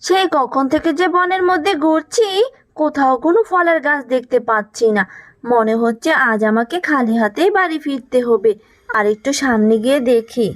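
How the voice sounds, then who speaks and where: A woman's voice: high-pitched, moderate pace, no echo, soft background music, close to an everyday voice. A woman voicing a caring, anxious mother fox in a cartoon, talking tenderly and expressively.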